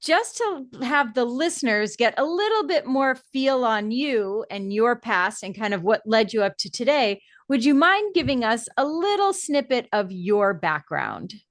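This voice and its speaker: A woman's voice: on the high side, sing-song, a little slow, hardly any pauses, dry recording, studio quality. A woman hosting a podcast, introducing and welcoming her guest in an upbeat, friendly way. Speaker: podcast host. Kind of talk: interview hosting.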